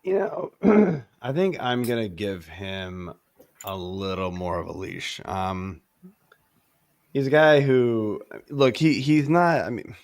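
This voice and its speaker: A man's voice: on the low side, takes it slowly, no room sound. A man hosting a casual fantasy baseball show, his voice a little worn from losing it, talking in an easygoing, rambling way with lots of fillers. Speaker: podcast host.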